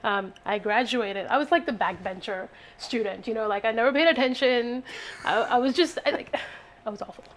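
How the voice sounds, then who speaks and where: Indian woman chef, thirties, bubbly and laugh-prone, voice sits a bit high. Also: normal speed, a little hiss or hum. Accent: Indian English. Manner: bubbly, laughs often, enthusiastic. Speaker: chef and baker. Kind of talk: casual cooking demonstration chat.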